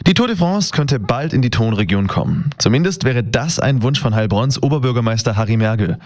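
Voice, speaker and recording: A man's voice: medium pitch, animated, fairly quick, darkish tone. Man reporting regional radio news.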